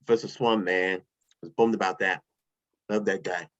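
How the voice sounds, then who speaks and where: A man's voice: deep voice, fairly even intonation, normal speed, lightly acted. A man reading a breezy, casual billionaire in an online table read, with a loose, casual delivery. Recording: dull lo-fi home mic.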